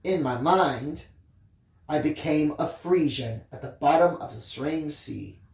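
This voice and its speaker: A storyteller reading a first-person tale expressively, with theatrical emphasis and drawn-out phrases. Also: mid-range voice, darkish tone, roomy sound, slight background noise. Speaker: audiobook reader, story time host.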